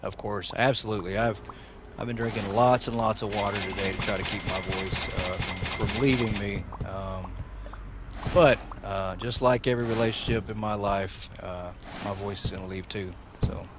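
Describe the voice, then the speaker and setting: A man hosting a gaming channel, chatting casually over gameplay in a rambling, jokey way full of fillers, his voice going slightly hoarse. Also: on the low side, steady tone, normal speed. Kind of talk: casual let's-play commentary. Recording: noisy background under the voice.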